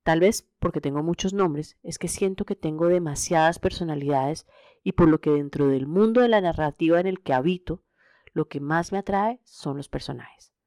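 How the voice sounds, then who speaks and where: Colombian woman podcaster, low-pitched, close dry sound. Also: normal speed, dark tone, dry recording, soft background music. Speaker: podcast host. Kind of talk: scripted solo podcast narration.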